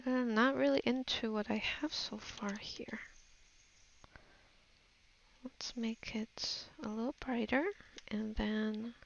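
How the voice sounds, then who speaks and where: Woman doing a laid-back art tutorial, slow n creaky, kinda unsure, half talking to herself. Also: a little high, fairly even intonation, rough recording. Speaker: digital artist giving a tutorial. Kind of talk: casual think-aloud art tutorial voice-over.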